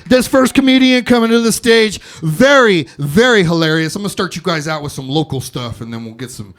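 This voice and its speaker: A man hosting a stand-up comedy show, warming up the crowd with loud, playful banter from the stage. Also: high voice, lively intonation, a little fast. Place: comedy club stage before a live audience.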